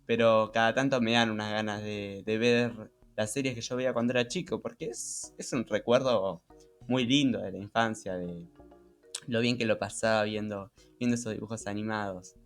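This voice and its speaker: A young man with a Rioplatense accent talks casually as a podcast co-host. His voice is on the low side and his delivery is reflective, repeating words as he searches for the next. Soft music runs underneath. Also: steady tone, moderate pace. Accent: Rioplatense Spanish. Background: background music.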